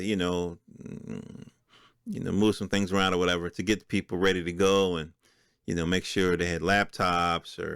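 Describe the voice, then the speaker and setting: A middle-aged American man with a low, resonant voice, recorded close and dry. He talks casually as a solo podcast host, reading through notes with offhand asides and turning to his listeners with rhetorical questions. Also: a bit bright, no echo. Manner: conversational, checks in with listeners using rhetorical questions. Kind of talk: informal solo commentary, reading from a list with asides.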